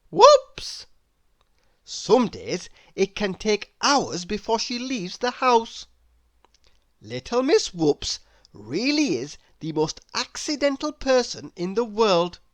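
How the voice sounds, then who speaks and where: A woman narrating a children's picture book aloud in an expressive, deliberate, theatrical storytelling manner. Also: medium pitch, no room sound. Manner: deliberate, theatrical, with playful exclamations.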